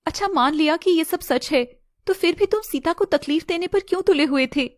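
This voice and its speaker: Woman playing blunt young female friend, high-pitched. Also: brisk, no echo, studio quality. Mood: indignant.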